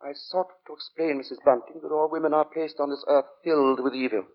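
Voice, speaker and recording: An actor playing a fanatically pious, sinister gentleman who preaches solemnly and formally in an old radio drama. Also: a little high, steady tone, quick pace, lightly acted. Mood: preaching. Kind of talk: 1940s radio drama acting. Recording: dull, noisy vintage radio recording.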